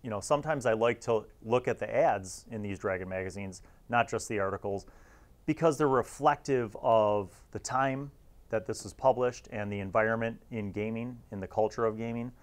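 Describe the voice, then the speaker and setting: A man's voice: fairly low pitch, moderate pace. An American man in his forties hosting a casual review to camera in a reflective, nostalgic manner. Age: 40s. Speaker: host.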